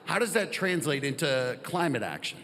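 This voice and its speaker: High, bright-voiced male moderator on stage. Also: sing-song, normal speed. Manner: enthusiastic, joking. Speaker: panel moderator. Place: stage in a large hall. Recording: long hall reverb.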